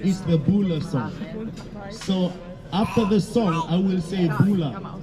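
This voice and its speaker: A man from Fiji with a Fijian accent, a performer introducing his song in halting speech. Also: high-pitched, expressive, crowd noise. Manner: friendly, slow, halting speech. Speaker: singer with guitar. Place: outdoor stage.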